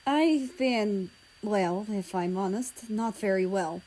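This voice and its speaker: A woman voicing a courteous, grieving man who speaks haltingly and puts on a brave face. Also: mid-range voice, a little mellow, poor audio. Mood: subdued, honest.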